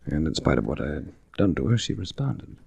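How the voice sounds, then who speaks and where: An actor playing a cool, blunt, world-weary investigator in a 1940s radio drama, with a clipped, deadpan delivery. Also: male voice, very deep voice, fairly quick, creaky, breathy edge, no echo, exaggerated voice. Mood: grim narrating. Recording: restored vintage 1940s radio recording.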